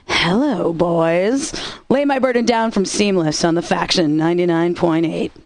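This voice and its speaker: A snarky rock radio DJ. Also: female voice, fairly low pitch, a little slow, no room sound. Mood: sassy. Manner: teasing, dry and sarcastic. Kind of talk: scripted game radio DJ banter.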